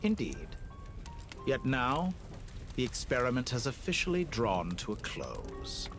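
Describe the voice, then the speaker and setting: An actor playing a cold, cultured villainous scientist in a video game, speaking in eloquent, measured, theatrical phrases. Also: male voice, medium pitch, sing-song. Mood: wry, resigned. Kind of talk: scripted video game voice acting. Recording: music under the voice.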